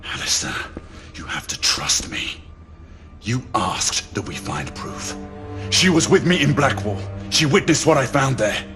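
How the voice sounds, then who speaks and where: A man's voice: mid-range voice, sing-song, unhurried. A man acting a determined, loyal knight in a video game cutscene, with a grave, earnest delivery. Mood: grave, hushed. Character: determined, loyal knight turned ally of rebels. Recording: dramatic music behind the voice.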